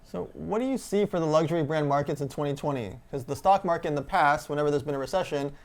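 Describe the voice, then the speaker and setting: A man's voice: on the high side, quick pace. A male host asks direct questions in a live online interview.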